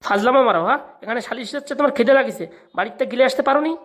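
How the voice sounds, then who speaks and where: A woman's voice: a little high, racing, close to an everyday voice. A woman playing a self-important, corrupt older male village headman in a comic cartoon, with a rural Bengali accent. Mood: scolding.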